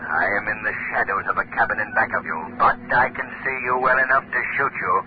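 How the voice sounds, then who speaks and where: Male actor as mocking invisible avenger, deliberate and menacing, 1930s radio acting, thin noisy recording. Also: lowish voice, lively intonation, narrow, tinny audio, lightly acted.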